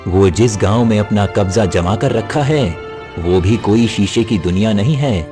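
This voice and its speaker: A wise old hermit sage, played by a man, speaks in a lowish voice. He is grave and instructive, in cartoon storytelling style, with clear music behind him. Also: normal speed, close to an everyday voice. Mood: solemn, instructive. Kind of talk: Hindi cartoon moral story acting.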